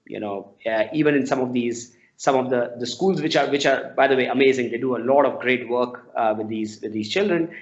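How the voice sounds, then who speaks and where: A man's voice: medium pitch, fairly quick, hardly any pauses. A man in his forties with an Indian accent answers interview questions with anecdotes, often repeating words as he explains. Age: 40s. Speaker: interviewee, storytelling non-profit founder. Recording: dull lo-fi audio.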